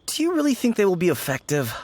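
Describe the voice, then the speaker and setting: An actor voicing a timid young funeral parlor assistant who speaks hesitantly and apologetically. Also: male voice, high-pitched, huge pitch swings, fast talker, a little mellow, full character voice. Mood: apologetic. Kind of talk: video game voice acting.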